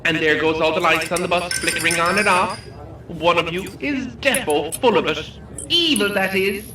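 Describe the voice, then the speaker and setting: A woman's voice: lowish voice, normal speed, reverberant, distant mic, background noise, close to an everyday voice. A woman with an Irish accent playing a chirpy, ghoulish tour guide in an audio drama, with theatrical, jokey patter for a group. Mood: ghoulishly playful. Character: chirpy, ghoulish female tour guide hosting a coach game. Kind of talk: audio drama acting, announcing.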